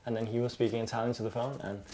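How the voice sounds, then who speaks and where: A man's voice: mid-range voice, level tone, rapid-fire, warm tone, rough recording. A man hosting a podcast interview, asking casual, curious questions and following up on what his guest says. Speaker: podcast host.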